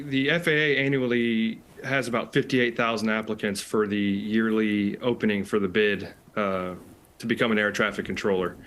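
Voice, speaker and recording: An American man speaks as an expert guest in an interview. His voice is a bit low. He explains things in a conversational way. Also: fairly bright tone. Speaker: interview guest, air traffic control specialist.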